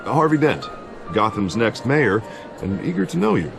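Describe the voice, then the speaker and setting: An actor playing a charming, self-assured, ambitious American politician in a video game. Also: male voice, mid-range voice, sing-song, moderate pace, vocal fry, over music. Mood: upbeat, confident. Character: smooth, upbeat, ambitious male politician. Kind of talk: video game character acting.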